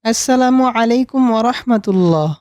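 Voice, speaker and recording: A woman plays a well-mannered little cartoon boy, earnest and pious. The voice is soft-toned and fairly high, recorded very dry with faint music behind. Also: moderate pace, no echo, lo-fi sound, lightly acted. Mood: obedient. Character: polite, obedient, pious little grandson. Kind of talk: animated cartoon voice acting.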